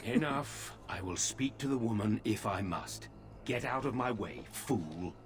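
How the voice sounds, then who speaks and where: A man's voice: lowish voice, lively intonation, unhurried, slight background noise. An actor playing a pompous, irritable male mage who speaks curtly in a video game. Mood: angry, dismissive. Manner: pompous, curt.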